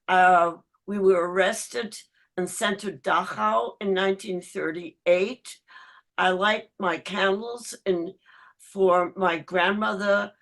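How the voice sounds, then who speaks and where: A woman in her nineties, from Germany, giving a short halting dedication at a remembrance ceremony, with many pauses. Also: mid-range voice, fairly bright tone. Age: 90s. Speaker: candle lighter at a remembrance ceremony.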